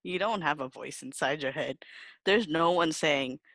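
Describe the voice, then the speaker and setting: A young woman co-hosting a podcast, telling stories in casual chat with animated delivery, laughing and swearing often. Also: low voice, measured pace, no echo. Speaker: podcast co-host.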